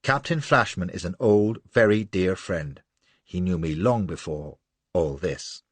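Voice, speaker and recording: A man voicing a haughty royal favourite woman with a rich, husky voice who speaks in a commanding way. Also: low voice, measured pace, dry recording. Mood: gracious. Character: imperious, beautiful royal favourite woman, contemptuous.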